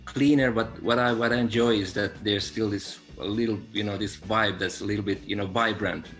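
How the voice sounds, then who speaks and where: A man's voice: fairly low pitch, fairly even intonation, fairly quick, fairly bright tone, faint music behind. A man from Finland, answering interview questions over a video call in a reflective, laid-back way. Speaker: guest musician.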